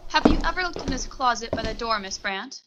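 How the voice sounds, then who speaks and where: A woman's voice: fairly high pitch, lively intonation, fairly quick, noisy background, natural voice. A young woman playing a prim, formal, coolly deferential secretary in a 1940s-style suspense radio play. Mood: calm, placating. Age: young adult.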